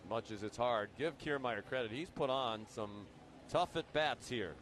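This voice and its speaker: A man's voice: medium pitch, moderate pace, a bit bright. Male baseball commentator with mid-range voice, faint noise. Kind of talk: live sports commentary. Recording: live ballpark broadcast, faint noise.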